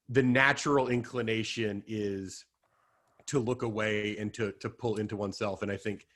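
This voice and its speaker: A man's voice: on the low side, fairly bright tone. A man who directs a summer camp, speaking as a podcast guest in a thoughtful, sincere way. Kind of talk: interview answer.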